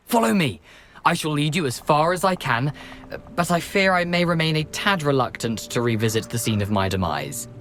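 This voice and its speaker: A man's voice: a little high, animated, moderate pace, fairly bright tone, a little hiss or hum. An actor playing a cheeky, wary young male ghost in a video game, with a wry, wordy, theatrical manner. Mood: wry, reluctant. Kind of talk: video game character acting.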